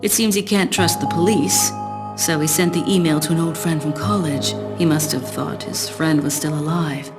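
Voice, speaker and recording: Low-voiced, secretive female spy. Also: fairly even intonation, moderate pace, music in the background. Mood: detached, calculating. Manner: composed, aloof, knowing. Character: cool, secretive, calculating female spy, adult. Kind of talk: video game cutscene acting and narration.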